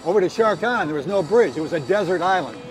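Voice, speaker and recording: A man's voice: high voice, expressive, fast. An older American man around his seventies, talking as a folksy harbor tour guide and reminiscing in an informal way. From Southern California, United States. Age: older, around 70s. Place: outdoors on a small moving boat in a harbor.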